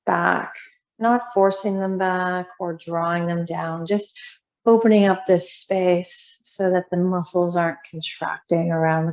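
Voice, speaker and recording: A woman yoga teacher leading a guided meditation in a soothing, unhurried, gently instructing way with long pauses. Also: on the low side. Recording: roomy echo, slightly muffled sound.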